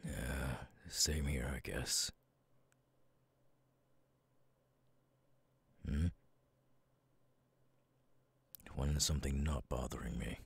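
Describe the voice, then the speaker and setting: A man voicing a stern, weary, guarded military commander in an ASMR audio roleplay, husky and brooding. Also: rumbling low, steady tone, normal speed, heavily acted. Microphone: close, dry microphone.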